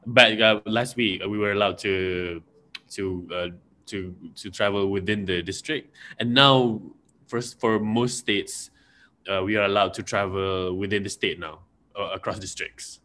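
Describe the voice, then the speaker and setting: A man's voice: lowish voice, fairly even intonation, unhurried, bright, forceful tone. A young Malaysian man with a Malaysian accent, co-hosting a podcast in casual, rambling chat full of fillers and restarts. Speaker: podcast co-host.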